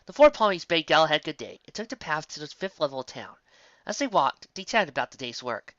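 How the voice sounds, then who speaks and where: A woman's voice: deep voice, very animated, brisk, a bit bright, dry recording. A woman narrating scripted fantasy fiction as a story reader, on a slightly dull recording.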